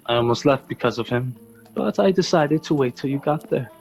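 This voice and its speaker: An actor playing a kindly, candid older army lieutenant in a radio drama, grave and a little hesitant. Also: male voice, mid-range voice, expressive, fairly quick, creaky, darkish tone, soft background music. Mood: friendly.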